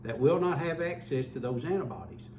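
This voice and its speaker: A man's voice: mid-range voice, very animated, brisk, darkish tone. A man giving an earnest public health update as a local official, often repeating words as he restarts. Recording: echoey room, mic far off, faint hum.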